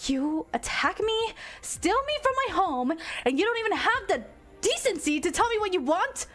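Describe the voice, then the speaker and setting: A very high, shrill captive, put on by a woman in a visual novel. The captive is frightened yet defiant, hurling accusations over music. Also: big pitch swings, moderate pace, fairly bright tone, exaggerated voice. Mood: furious, accusing. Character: indignant, frightened young kidnapping captive. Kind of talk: dramatic visual novel read-aloud.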